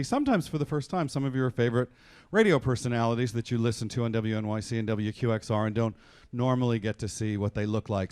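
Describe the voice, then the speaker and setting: A man's voice: medium pitch, clean tone. A man speaking as host and director, introducing a radio drama company live on stage. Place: in front of a live studio audience. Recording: live hall with audience noise and clicks. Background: audience crowd noise.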